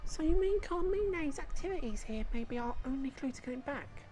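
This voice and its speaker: A woman's voice: high voice, lively intonation, fairly quick, mellow, faint music behind, voice pushed up. A woman voicing an eager, polite and inquisitive young boy apprentice in a video game. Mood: eager, curious.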